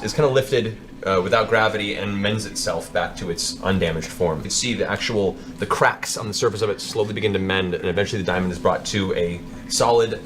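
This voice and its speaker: A man with a fairly low voice narrates a tabletop fantasy game as game master. He improvises vivid descriptions and tells them with a storyteller's sense of drama.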